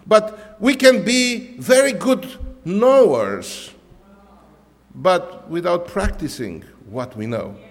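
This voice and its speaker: A man's voice: sits high, expressive, takes it slowly, big-room sound. A man whose first language is Romanian, a pastor preaching a conversational sermon in English, full of "you know" and restarts.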